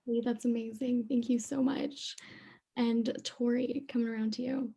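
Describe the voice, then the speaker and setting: A woman's voice: fairly high pitch, flat delivery, moderate pace, dark tone, slightly rough. A woman moderating an online panel, thanking speakers and handing over the floor. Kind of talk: hosting a panel. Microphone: video call.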